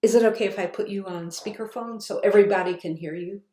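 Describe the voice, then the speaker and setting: A woman author reading her children's book aloud in slow, expressive storytelling. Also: medium pitch. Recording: roomy echo.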